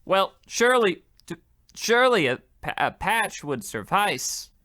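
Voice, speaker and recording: A man's voice: sits high, very animated, measured pace, bright, forceful tone, exaggerated voice. A man playing a meek, cheerful, hapless poor man in a radio comedy, stammering earnestly as he talks. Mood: flustered, stubborn. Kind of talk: old-time radio comedy acting.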